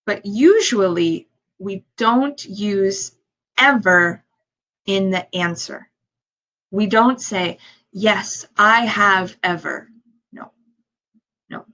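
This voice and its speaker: A woman teacher and native English speaker with a medium-pitched voice and a very slow pace. She explains things in simple steps for language learners, deliberately repeating key phrases and asking questions. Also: pushed and bright, rough recording. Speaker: ESL teacher.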